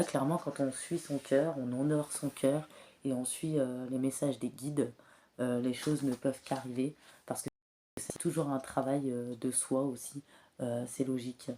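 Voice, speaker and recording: A woman with a cold and a slightly hoarse voice, giving a spiritual card reading in a soft, hesitant way with many filler pauses. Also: extremely low pitch, a little hiss or hum. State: has a cold, clears throat often. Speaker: tarot and oracle card reader.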